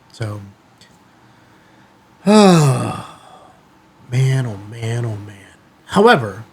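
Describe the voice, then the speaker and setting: A man's voice: mid-range voice, slight background noise. A man hosting a casual product review vlog, talking conversationally and emphatically in short bursts. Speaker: YouTube channel host.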